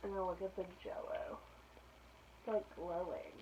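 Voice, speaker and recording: A woman reading a children's picture book aloud expressively, pausing to put questions to her listeners. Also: lowish voice, steady tone, whispered. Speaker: storytime reader. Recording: muffled lo-fi sound with faint noise.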